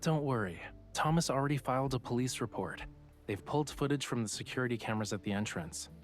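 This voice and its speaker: A man's voice: medium pitch, fast, soft background music, close to an everyday voice. An actor voicing a playful, self-assured young male artist in a romance video game, with a casual, lazily teasing manner. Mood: playful, calm.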